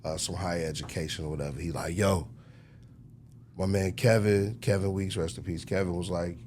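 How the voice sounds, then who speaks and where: A middle-aged American man telling his story casually as an interview guest, in slangy conversational speech with frequent throat clearing. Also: low-pitched, steady tone, fairly quick, darkish tone, slight background noise. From United States, Chicago. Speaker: interview guest, record executive.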